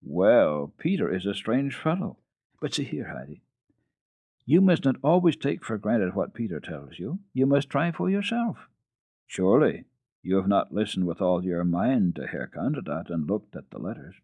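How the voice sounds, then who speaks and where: A man voicing a kindly, encouraging old grandmother in a storybook reading, coaxing and deliberate but gently firm. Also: fairly low pitch, very animated, breathy edge, exaggerated voice. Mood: gently questioning. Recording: narrow-band, echoey old radio recording.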